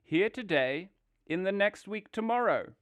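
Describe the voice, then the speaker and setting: A man's voice: high voice, lively intonation, measured pace, fairly bright tone, smooth, dry recording, clean studio sound. A man playing a vain, dreamy toad with a theatrical, gushing manner in an audio drama. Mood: dreamy rapture. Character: vain, rapturous male toad, dreamy and car-obsessed.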